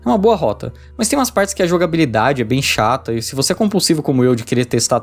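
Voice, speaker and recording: A man's voice: medium pitch, very animated, fast. A man with a Brazilian accent reading a casual, slangy scripted game review. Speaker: video essay narrator, game reviewer. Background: music.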